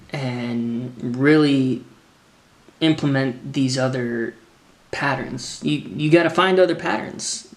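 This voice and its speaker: Slow, gravelly American man, halting. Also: medium pitch. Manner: halting, thoughtful, pausing to formulate answers. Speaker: drummer answering questions. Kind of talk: casual unscripted Q&A talk. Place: indoors, open kitchen-dining room at a table. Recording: echoey room.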